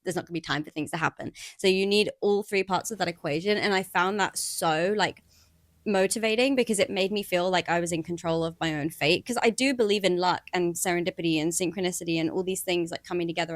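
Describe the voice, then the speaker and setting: A woman's voice: fairly low pitch, no echo. A woman in her thirties co-hosting a podcast, chatting casually and acting out her own doubts in quotes. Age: 30s. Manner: animated, acts out her own inner voice in quotes. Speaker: podcast co-host. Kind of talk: casual chat.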